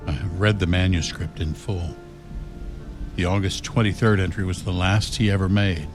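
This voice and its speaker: An actor playing a level-headed, skeptical friend in an amateur radio horror drama. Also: male voice, low-pitched, steady tone, normal speed, music in the background, lightly acted. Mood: creeping dread.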